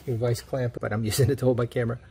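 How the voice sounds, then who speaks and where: A man's voice: mid-range voice, little pitch movement, racing, creaky, a little mellow, slight background noise. A man giving a casual hands-on how-to, thinking aloud informally as he works with tools on camera. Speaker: DIY video maker demonstrating a build.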